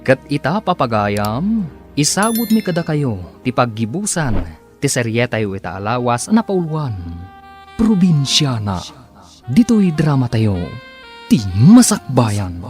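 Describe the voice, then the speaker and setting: A man's voice: mid-range voice, sing-song, measured pace, a little mellow. A man with an Ilocano accent announcing a radio drama serial in a dramatic style. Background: music.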